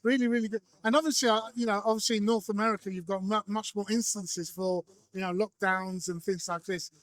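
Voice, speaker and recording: A man's voice: high voice, steady tone, a little fast, no echo. A man working as an interviewer, chatting casually and conversationally as he puts questions. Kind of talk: casual trade show interview. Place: trade show exhibition hall.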